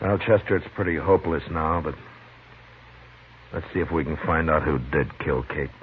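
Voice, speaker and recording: Deep-voiced weary Western marshal. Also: male voice, normal speed. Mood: weary, resigned. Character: weary, grave Old West town marshal. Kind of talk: 1950s radio Western acting. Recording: noisy, tinny 1950s radio recording.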